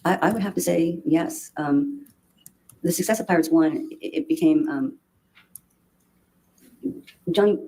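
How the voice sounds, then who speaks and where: A woman testifying as a witness in court, speaking haltingly with many restarts and fillers. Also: sits low, mellow, low-quality recording. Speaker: trial witness.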